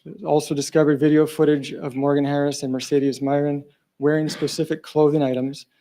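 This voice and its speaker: A soft-spoken man delivers a police statement at a press conference, pausing often and filling gaps. His voice is dark and gravelly and stays flat in pitch. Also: slight background noise. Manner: soft-spoken, hesitant, many fillers. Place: large press conference room.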